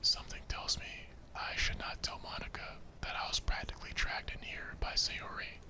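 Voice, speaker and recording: A man whispering the narration of a visual novel in a hushed ASMR style. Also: moderate pace.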